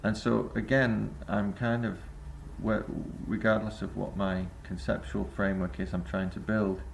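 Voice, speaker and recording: A man's voice: on the low side, little pitch movement, a little slow, creaky, a little hiss or hum, low-quality recording. A man giving a reflective spoken essay to camera as a vlogger, rambling and hesitant with many filler sounds. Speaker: vlogger and busking singer.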